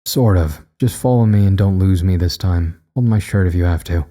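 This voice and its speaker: A serious, no-nonsense man leading the way, acted by a male narrator, gives curt and critical orders. His voice is very dark and mellow, in the manner of an audiobook tale. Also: sits low, moderate pace, close to an everyday voice. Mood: serious, brusque. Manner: curt, commanding. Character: stern, serious male guide, critical and brusque. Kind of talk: audio storybook character voice.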